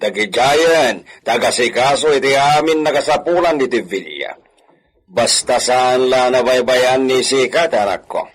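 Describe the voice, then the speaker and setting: A man's voice: mid-range voice, a little slow, lightly acted, rough recording. A man with an Ilocano accent acting an authoritative, stern villa master and father in a radio drama. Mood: stern, instructing. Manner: authoritative, sprinkles in Spanish phrases.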